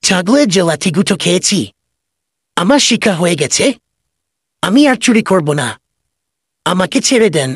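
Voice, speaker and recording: A man's voice: high-pitched, expressive, moderate pace, pauses often, breathy edge, no room sound, studio quality. A man playing a sly, cheeky village goat thief in a comedy cartoon, with a theatrical manner. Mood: pleading. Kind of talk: cartoon voice acting.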